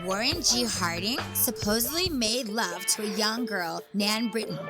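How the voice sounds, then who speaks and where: A woman playing an earnest, highly educated porn star presenting history in a scripted comedy sketch. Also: animated, unhurried, close to an everyday voice. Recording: loud music under the voice.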